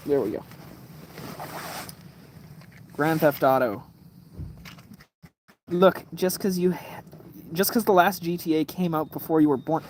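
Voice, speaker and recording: A man's voice: on the high side, normal speed, pauses often, darkish tone, slight background noise. A man in his twenties telling rambling stories on a casual livestream, swearing, with lots of fillers and restarts. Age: 20s. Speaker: livestream host. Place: room lit by kerosene lamp at night.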